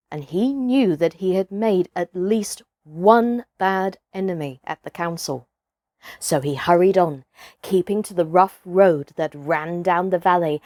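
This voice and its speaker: A woman narrates a children's tale in a dramatic, deliberate way. Her pitch moves in an animated way and she takes her time over the lines. The recording is close and dry. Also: dry recording. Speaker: storyteller and host of a children's storytime. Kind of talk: expressive children's audiobook narration.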